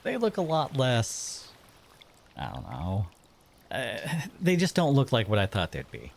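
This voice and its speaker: A man's voice: medium pitch, huge pitch swings, a little slow, creaky, full character voice. A man playing a curious, casual merfolk monster in an audio drama, questioning and a little hesitant and skeptical. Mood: puzzled. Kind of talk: scripted audio drama acting.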